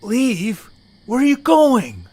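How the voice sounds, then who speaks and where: A man's voice: extremely high pitch, wildly swooping pitch, slow pace, a little mellow, voice pushed up, heavily acted. A man playing an anxious, earnest young creature in an amateur audio drama, hesitant and pleading. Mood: pleading, upset.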